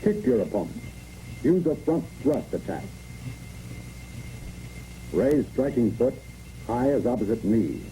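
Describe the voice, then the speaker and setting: Dark-voiced American man narrating a training film. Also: mid-range voice, big pitch swings, unhurried, pauses often. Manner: clipped, imperative, step-by-step delivery. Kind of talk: scripted instructional military narration, 1960s film. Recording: noisy, muffled 1960s training film soundtrack.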